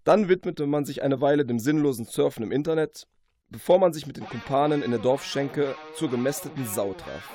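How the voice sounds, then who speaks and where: A man's voice: medium pitch, a little fast, warm tone, faint music behind. A man narrating a comic fantasy parody from a script, in a formal, mock-epic storyteller manner. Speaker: author reading from his own book.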